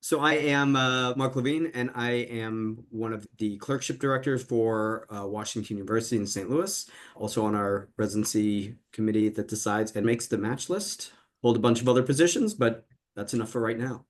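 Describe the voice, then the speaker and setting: A man who is a clerkship director, answering a question thoughtfully on a webinar panel. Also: medium pitch. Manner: thoughtful, measured, hedging advice.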